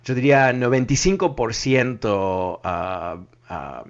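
Un hombre que conduce un programa de radio con llamadas del público. Habla pausado y reflexivo, con muchas muletillas. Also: male voice, mid-range voice, dull audio. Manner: thoughtful, many fillers and restarts. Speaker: radio talk show host. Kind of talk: live call-in radio talk.

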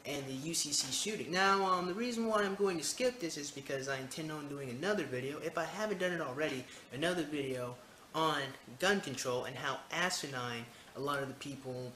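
A man's voice: fairly high pitch, pushed and bright. A man giving rambling video commentary, hesitant and often digressing. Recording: echoey, noisy homemade video.

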